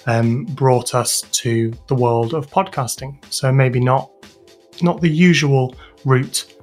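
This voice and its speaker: A man's voice: mid-range voice, takes it slowly, soft background music. A British man giving thoughtful, slightly hesitant explanatory answers as an interview guest. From United Kingdom. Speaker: guest, podcast sound designer and composer.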